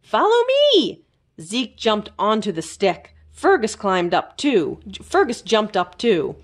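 A woman storyteller reading a children's book aloud expressively, with careful, dramatic emphasis and pauses. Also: mid-range voice, animated. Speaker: library storyteller.

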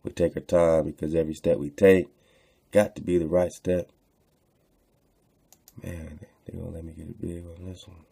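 A man's voice: low voice, flat delivery, normal speed, creaky, long pauses, mellow, husky. A man casually hosting an unscripted online show, rambling, repeating phrases and using slangy filler. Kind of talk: casual unscripted commentary while browsing on screen.

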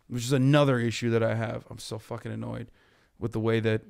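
A man in his thirties, from Turkey, talks politics with a blunt and profane edge. His voice is smooth and clear. He speaks at a middling pitch into a boom mic in a podcast studio. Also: level tone, a little mellow. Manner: blunt, profane, rapid explaining. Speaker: podcast guest. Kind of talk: heated political chat. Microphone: boom mic on stand.

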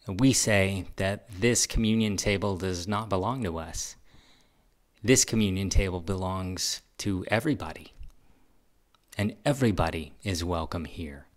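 A man speaking as a pastor, inviting a congregation in measured, deliberate, plain-spoken phrases. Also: low voice.

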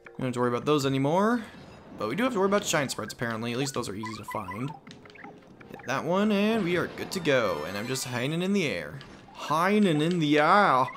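A man's voice: medium pitch, big pitch swings, fairly quick, a bit bright. A young adult man giving casual, rambling commentary as he plays a video game. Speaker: let's play host. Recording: game music behind voice. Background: video game music.

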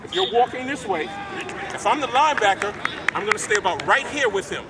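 A man's voice: high-pitched, animated, a little fast. A man coaching football on an outdoor field, giving short commands and walking players through technique, heard from the camera at a distance. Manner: short barked commands, then explaining technique. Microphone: camera from far off. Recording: distant outdoor voice over crowd and noise.

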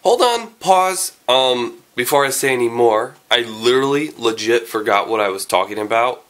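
A man's voice: medium pitch, animated, normal speed, a bit bright, dry recording. A young man with a cold, sounding nasal, rambling casually to a handheld camera while driving his pickup. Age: young adult. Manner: rambling, chatty, loses his train of thought. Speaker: vlogger. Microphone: handheld camera at arm's length.

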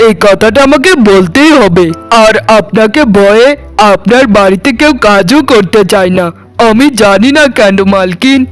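A man's voice: high-pitched, normal speed, soft background music, lightly acted. A man voicing a timid, loyal servant who apologizes to his mistress in a cartoon. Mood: nervous. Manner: fawning and apologetic. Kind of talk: cartoon voice acting.

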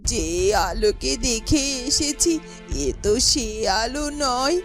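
A woman's voice: high-pitched, slow, fairly bright tone, soft background music, heavily acted. A woman voicing a troubled, caring palace garden-keeper who muses to herself. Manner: musing, self-questioning.

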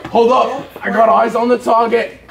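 A man playing a brash, bumbling male wildlife poacher in an improvised comedy skit, excitable and tacking "mate" onto everything. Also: very high-pitched, moderate pace, vocal fry, heavy background noise, full character voice. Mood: boastful. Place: school building, indoors. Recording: echoey halls with crowd chatter. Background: students chattering.

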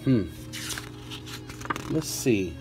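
A man's voice: fairly low pitch, sing-song, warm tone. Man reading to children, music behind. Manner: clear, deliberate reading with playful questions to young listeners. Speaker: teacher reading a picture book aloud. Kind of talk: children's read-aloud with chatty asides.

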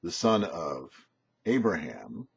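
An older man giving a short religious teaching as a rabbi, emphatic, with rhetorical questions and pauses. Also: low-pitched, sing-song, gravelly, breathy edge. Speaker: rabbi and host of a weekly Torah show. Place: small home office, indoors. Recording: dull, lo-fi webcam sound.

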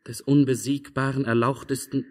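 Actor as a husky-voiced, formal court chamberlain. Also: male voice, medium pitch, flat delivery, lightly acted. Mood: grave, proclaiming. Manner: formal, measured, solemn. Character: deferential, formal imperial chamberlain of a Byzantine court. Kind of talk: 1950s German radio drama acting.

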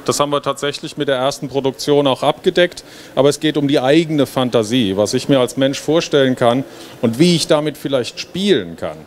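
A man's voice: medium pitch, brisk. A middle-aged man giving an informal, explanatory stage presentation into a handheld microphone. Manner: explanatory, conversational. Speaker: game developer presenting. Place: stage at a busy trade fair hall. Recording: noisy hall, filmed from audience.

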